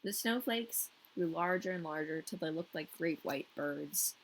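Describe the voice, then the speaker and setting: A woman's voice: deep voice, unhurried, a little hiss or hum, rough recording. A woman reading a fairy tale as a storyteller, informal and playful with her listener. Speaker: storyteller, podcast host. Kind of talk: scripted fairy tale narration with casual asides.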